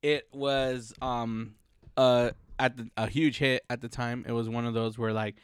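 A man's voice: medium pitch, little pitch movement, a little slow, clear, resonant tone, dry recording. A man in his thirties hosting a podcast, rambling in casual chat and laughing often, with a slight cough. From Chicano, United States. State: slight cough, deeper voice than usual. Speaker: podcast host.

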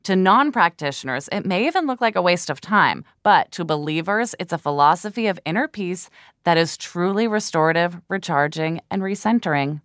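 A woman's voice: fairly low pitch, lively intonation, normal speed, a bit bright, no room sound. A woman co-hosting a podcast, chatting casually with dry teasing and reading steps aloud. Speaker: podcast co-host.

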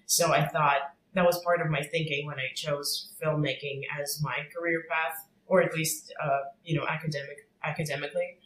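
Young woman, bright, tense voice, hesitant with fillers, roomy echo. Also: deep voice, fairly even intonation, moderate pace. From Russia. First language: Russian. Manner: thoughtful, hesitant with many fillers. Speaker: podcast guest. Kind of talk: casual chat.

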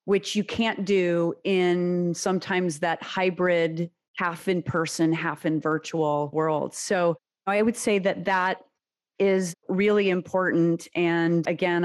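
Measured woman executive guest. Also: on the low side, fairly even intonation. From United States. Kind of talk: business interview.

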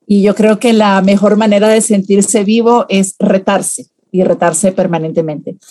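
A woman's voice: mid-range voice, warm tone, no room sound. A woman, a public relations consultant speaking reflectively as a podcast guest, with frequent fillers. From Venezuela. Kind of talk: podcast interview.

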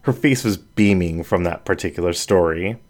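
A man reading scripted fiction as a narrator, in an expressive manner. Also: sits low, normal speed. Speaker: story reader.

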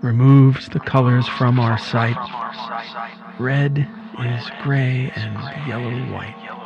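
A man reciting poetry in a solemn, measured and dramatic way, sometimes hushed. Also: mid-range voice, vocal fry. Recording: dull lo-fi archive track with music. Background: music.

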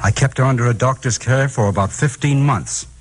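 An actor with an American accent playing a mild, courteous, loyal husband in a 1950s radio drama. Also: male voice, medium pitch, a little fast, lightly acted. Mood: earnest, regretful. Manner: earnest, courteous. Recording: noisy 1950s radio recording.

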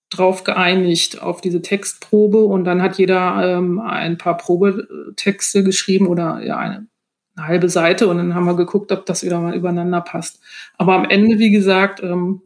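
A woman's voice: lowish voice, level tone, moderate pace. A woman with a German accent answering interview questions as an author, explaining things in a conversational way. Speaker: guest, author of novels and audio dramas.

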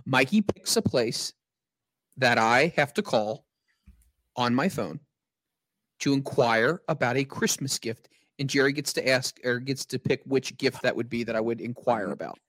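A man's voice: mid-range voice, normal speed, a bit bright, dry recording. A man hosting a casual podcast chat, joking around and asking his guests questions. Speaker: podcast host.

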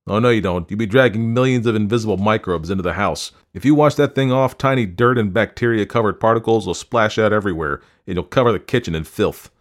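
Audiobook husband, played by a male narrator: domineering, irritable germophobe, emphatic syllables, swinging pitch. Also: animated, brisk. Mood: bossy, agitated. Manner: shouting, hitting syllables hard. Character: angry, germ-phobic, domineering husband.

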